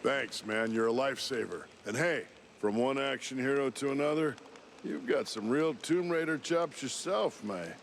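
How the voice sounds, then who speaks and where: An actor playing a dazed, cocky cartoon mouse movie action hero who rambles in laid-back bro talk. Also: male voice, medium pitch, moderate pace, gravelly, slight background noise, natural voice. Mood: grateful. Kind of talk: cartoon game acting.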